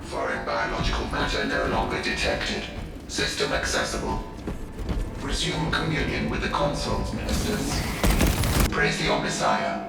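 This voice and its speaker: Super deep gravelly robot machine spirit, cold game announcer, echoey with loud music behind. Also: male voice, completely flat delivery, normal speed, recorded from a distance. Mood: cold, procedural. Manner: clipped, emotionless, liturgical announcements.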